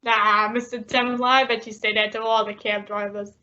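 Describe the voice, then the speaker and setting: Woman as male cab driver, slangy, nervous, loyal, fairly high pitch, old-time radio drama, dull recording. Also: flat delivery, normal speed, very noisy, natural voice. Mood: eager, joking. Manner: stammering, slangy, ungrammatical. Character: eager, nervous, slangy male New York-style cabbie. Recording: dull lo-fi audio.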